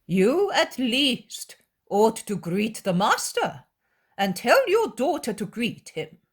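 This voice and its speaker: A woman's voice: a little high, very animated, takes it slowly, breathy edge, voice pushed up, full character voice. A woman voicing a male scribe who insistently scolds an old mother.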